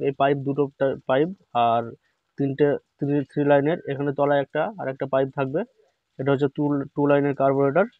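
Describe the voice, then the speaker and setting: A man talks through a repair, repeating each instruction step by step. His voice sits a little high and stays level, with little rise and fall. The sound is dry and slightly dull, like a phone recording. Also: no room sound. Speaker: repair channel host. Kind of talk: hands-on repair tutorial. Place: indoor workbench.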